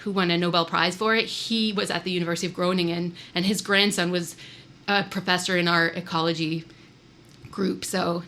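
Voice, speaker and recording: A woman whose first language is Swiss German talks as an interview guest in a bright, somewhat low voice. She is chatty and upbeat, and she scatters fillers as she speaks. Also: fairly even intonation, fairly quick. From born in Switzerland, raised in the US. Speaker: wildlife biologist guest. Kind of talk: casual podcast interview.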